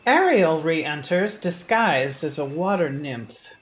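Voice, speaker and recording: A woman's voice: low-pitched, lively intonation, slow. A woman reading scripted narration and stage directions for an audio drama. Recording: noisy remote home recording.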